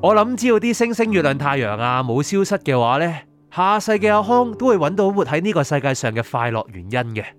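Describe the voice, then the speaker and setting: Male actor as a young dead soul, reflective, peaceful, lively high voice, radio drama, music behind. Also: fairly quick, few pauses. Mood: reflective, calm. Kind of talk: Cantonese radio drama acting and first-person narration.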